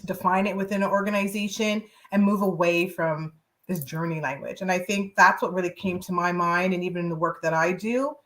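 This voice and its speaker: Woman, somewhat low pitch, conversational panel speaker, direct, earnest. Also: fairly even intonation, brisk, a bit bright.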